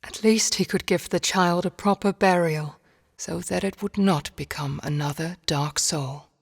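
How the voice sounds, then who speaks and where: A woman narrating a storybook game in a measured, solemn fairy-tale style. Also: fairly low pitch, gravelly. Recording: long reverb.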